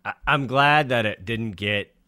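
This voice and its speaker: A man's voice: mid-range voice, unhurried, no echo. A man co-hosting a morning radio show, chatting animatedly and acting out his reactions, still congested from an illness. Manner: animated, acts out quoted reactions. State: recovering from covid, congested. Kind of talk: casual radio chat.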